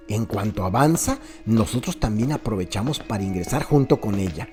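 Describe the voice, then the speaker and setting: A Mexican man narrates a crime serial with a lively, swooping delivery. He paints each scene like a theatrical storyteller, with music clearly playing behind him. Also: mid-range voice. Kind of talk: dramatized scripted narration, present-tense guided tour. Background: background music.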